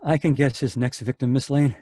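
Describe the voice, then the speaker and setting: A man's voice: medium pitch, steady tone, fairly quick, warm tone, dry recording, close to an everyday voice. A man acting a polite, flustered and fearful sanitarium doctor in an old-time radio drama.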